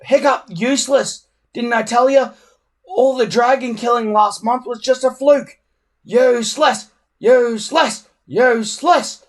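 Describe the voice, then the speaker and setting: Man playing a jeering, high-pitched Viking boy bully. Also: fairly even intonation, measured pace. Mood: gleeful crowing. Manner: jeering, crowing. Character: sneering, gloating young Viking boy bully.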